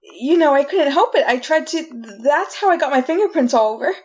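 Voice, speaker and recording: A woman acting a frightened condemned prisoner in a retro radio drama, pleading innocence in a halting, folksy way. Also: high voice, lively intonation, darkish tone, lo-fi sound. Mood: defensive, shocked.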